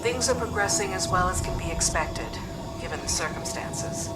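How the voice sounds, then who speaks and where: A woman playing a blunt, sceptical, loyal military commander in crisp, matter-of-fact game dialogue. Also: fairly low pitch, lively intonation, normal speed, lightly acted, rough recording. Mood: frank, wary. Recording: loud music under the voice.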